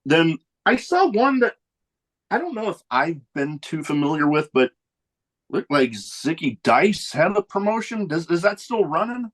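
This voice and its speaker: A man hosting a podcast, chatting in a relaxed, rambling way and often losing his train of thought. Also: on the high side, unhurried. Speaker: podcast host. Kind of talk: casual chat.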